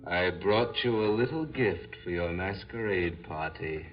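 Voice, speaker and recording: An actor playing the taunting, deliberate ghost of a murdered man in a 1940s radio horror drama. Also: low-pitched, lively intonation. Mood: slow menace. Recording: muffled vintage 1940s radio recording, music behind.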